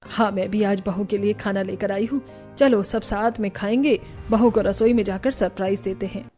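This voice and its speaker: A woman's voice: medium pitch, steady tone, normal speed, mellow, faint music behind, natural voice. A woman voicing a kindly but firm middle-aged mother-in-law in a family cartoon. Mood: concerned. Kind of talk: acted dialogue in a moral family cartoon.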